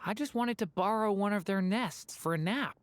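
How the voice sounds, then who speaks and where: A woman voicing a gentle, earnest young boy who used to be a dragon, soft-spoken and a little hesitant. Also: medium pitch, normal speed, natural voice. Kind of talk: game voice acting.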